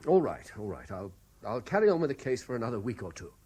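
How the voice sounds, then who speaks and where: An earnest, troubled lawyer in a 1970s radio drama. His voice is mid-pitched and a little rough, with measured phrasing that rises and falls expressively as he reports on his case. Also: very animated, warm tone. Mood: uneasy. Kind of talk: radio play acting with diary-like narration.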